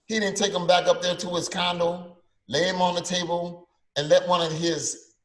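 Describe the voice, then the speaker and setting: An older man riffs sarcastically on a casual podcast, tripping over his words with quick restarts. His voice sits a little high for a man. The sound rings in an echoey room. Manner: animated, sarcastic, stammering restarts. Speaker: podcast co-host. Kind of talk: casual opinionated chat.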